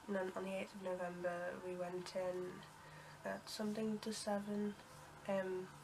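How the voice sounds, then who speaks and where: A young woman with a cold talks to camera, her voice bunged up and her delivery wandering through ums and half-finished sentences. She keeps her pitch level and flat. A steady hiss of noise sits under the recording. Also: unhurried. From United Kingdom. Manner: rambling, many ums and restarts, coughs and clears throat. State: congested with a cold. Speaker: vlogger. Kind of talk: casual storytelling to camera.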